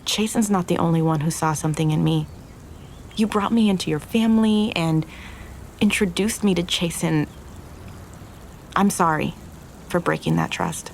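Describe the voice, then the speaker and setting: An actress playing an earnest, regretful young restaurant owner in an audio drama, confessing in a halting, naturalistic way. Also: female voice, fairly low pitch, gravelly, slight background noise. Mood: apologetic.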